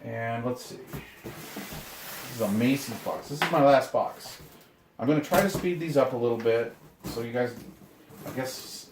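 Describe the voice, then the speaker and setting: A man's voice: medium pitch, measured pace, long pauses. A man giving casual, rambling, laid-back unboxing commentary as a hobbyist host, muttering to himself as he goes. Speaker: video host, hobbyist radio collector. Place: indoor room, handling items from boxes. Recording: echoey room with clear noise and clicks.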